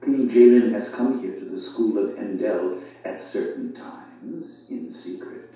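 A solemn, authoritative sage played by a male actor from an audio drama. His voice is low and very mellow, measured and wise. It plays back from a distance in a heavily echoing room. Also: lightly acted. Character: grave, wise male sage and teacher of a school. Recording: roomy echoing playback, far from mic.

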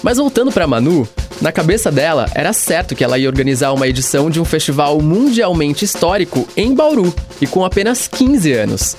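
A man narrating a documentary story in scripted voice-over, relaxed with casual asides. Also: a little high, fairly quick, faint music behind.

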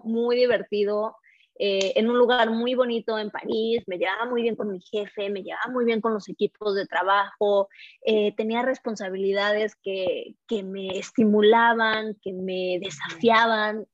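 A woman's voice: a little high, fairly even intonation, measured pace, dry recording. A woman with a Mexican accent, telling personal stories casually and expressively as a podcast guest. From Mexico City, Mexico. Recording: dull lo-fi microphone sound.

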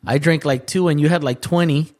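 A man's voice: on the high side, a little fast. A man co-hosting a casual podcast chat with friends, teasing them and mixing English and Spanish. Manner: animated, teasing, switches between English and Spanish. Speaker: podcast co-host.

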